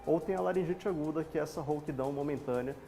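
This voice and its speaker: A man with a Brazilian Portuguese accent, a doctor guest on a TV health show, explaining things calmly in plain lay terms in a studio. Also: fairly high pitch, quick pace, creaky, faint music behind.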